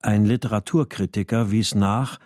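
An actor playing a troubled husband and writer, narrating a literary radio drama in a measured, sombre first person. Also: male voice, lowish voice, steady tone, darkish tone, dry recording, studio quality, natural voice. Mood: sombre, reflective. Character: troubled husband, a writer, recounting his story.

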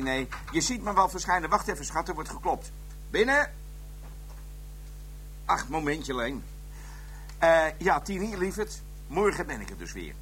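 Jolly chatty cop in a radio play, swingy highish voice, sweet and hearty. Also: male voice, fairly bright tone, slight background noise. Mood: affectionate, cheerful. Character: jovial, affectionate male policeman abroad, eager for home. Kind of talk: Dutch radio crime drama acting.

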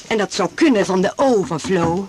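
An actress playing a genteel, calculating doctor's wife in a radio drama, with affected, gushing sales chatter. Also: female voice, medium pitch, expressive, moderate pace, close to an everyday voice. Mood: gushing, persuasive. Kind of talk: 1980s Dutch radio drama acting.

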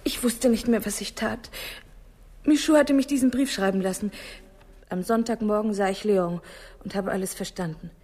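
Hushed, husky, troubled woman played by an actress. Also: mid-range voice, moderate pace, close to an everyday voice. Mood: anguished, confessing. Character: troubled, earnest young woman confessing to the commissioner. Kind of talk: 1960s German radio crime drama acting.

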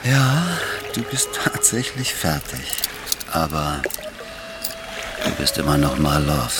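Menacing Soviet apparatchik villain, very low voice, mocking and condescending, radio play with music underneath. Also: male voice, very animated, slow, full character voice. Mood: mock regret. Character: smug, menacing Soviet party boss and killer. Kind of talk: German radio drama acting.

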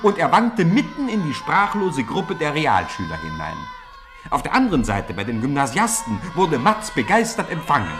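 A man narrating a 1950s radio play, telling a snowball fight with rising excitement. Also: fairly high pitch, moderate pace, music in the background. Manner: lively storytelling, rising with the fight. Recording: old radio play with crowd noise behind.

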